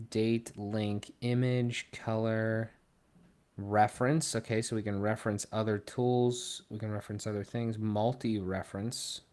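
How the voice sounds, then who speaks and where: A young man from Pittsburgh hosting a casual livestream, thinking aloud and trailing off into low mumbles. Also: darkish tone. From Pittsburgh, Pennsylvania. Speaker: livestream host. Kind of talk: casual live co-stream chat. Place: small room at a desk.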